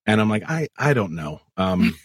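A man's voice: lowish voice, sing-song, moderate pace, slight background noise. A man hosting a casual podcast, joking crudely and swearing a lot while interviewing his guest. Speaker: podcast host.

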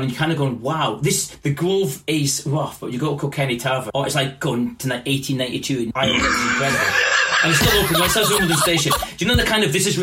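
Male comedian guest from Scotland, animated yarn-spinner with a slightly raised pitch. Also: slight background noise. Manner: animated storytelling, laughing often. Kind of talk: casual podcast chat.

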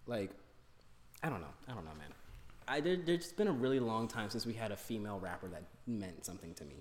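A man's voice: fairly low pitch, animated, fairly quick. A young adult man co-hosting a podcast in casual chat, arguing his point emphatically and swearing. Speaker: podcast co-host. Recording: reverberant room.

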